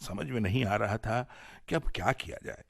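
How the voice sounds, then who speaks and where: A man with an Indian accent tells a folk tale for radio. His pitch moves widely and expressively through each sentence, and the recording is close and dry. The delivery is scripted and dramatic. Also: normal speed, vocal fry, no echo. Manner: dramatic, animated storytelling delivery. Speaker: storyteller and presenter on a radio show.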